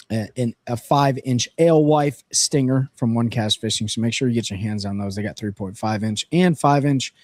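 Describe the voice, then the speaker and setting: A man with a hoarse, worn-out voice chats casually on a fishing livestream, energetic and rambling. His pitch sits in a plain middle range. The sound is close and dry, with no room echo. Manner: energetic, rambling, filler-heavy. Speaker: fishing show co-host. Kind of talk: casual livestream chat with sponsor reads. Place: region of central North Carolina.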